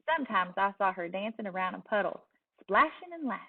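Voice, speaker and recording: A woman with a Southern US accent giving an expressive storybook read-aloud as a teacher, telling it in a young girl's words with soft dips at phrase ends. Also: mid-range voice, fairly quick. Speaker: teacher reading a picture book aloud. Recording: distant, tinny phone-like sound.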